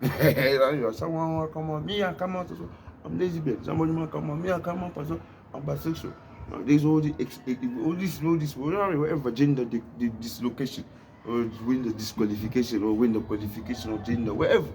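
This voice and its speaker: A man's voice: fairly high pitch, fast, darkish tone. A man from Africa vlogging in a rambling monologue, repeating himself and often asking if you know what he means, smoking while he talks. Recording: noisy background hiss.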